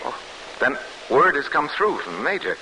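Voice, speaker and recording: An actor in an old-time radio drama playing a resolute Polish prisoner of war leading an escape, earnest and dramatic, on a noisy vintage recording. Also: male voice, on the high side, very animated, normal speed, vocal fry. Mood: intent, questioning.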